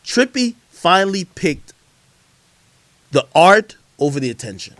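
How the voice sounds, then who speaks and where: Male livestream host, higher-pitched and very expressive, casual slangy storyteller, swears freely, dry close sound. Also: unhurried, no room sound. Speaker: livestream host commenting on hip hop.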